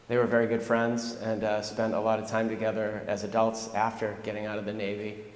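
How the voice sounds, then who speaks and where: A man, a veteran, recounting his family's service history in a steady, measured way. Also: lowish voice, reverberant, long reverb tail. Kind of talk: recorded interview reflection.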